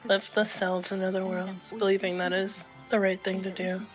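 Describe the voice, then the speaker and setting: A woman voicing a grave scholar in a game, giving quiet, measured exposition. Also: medium pitch, little pitch movement, faint music behind, natural voice. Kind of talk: amateur dub of game dialogue.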